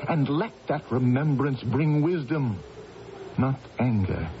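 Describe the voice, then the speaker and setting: A man's voice: mid-range voice, takes it slowly, natural voice. An actor playing an earnest, cautious military counselor to a queen, speaking emphatically and urgently in 1980s radio drama. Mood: urgent, reasoning. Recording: muffled, noisy old radio recording.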